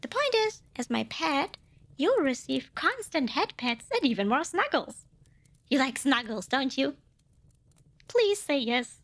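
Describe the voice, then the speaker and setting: Female performer for tiny eager demoness, high and very animated, a cuddle-hungry saleswoman for an ASMR roleplay. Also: gravelly, heavily acted. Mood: pleading. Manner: playful wheedling salesmanship with flustered self-corrections. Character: cute little female demon, centuries old, eager, cuddle-craving. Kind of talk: scripted ASMR fantasy roleplay addressed to the listener.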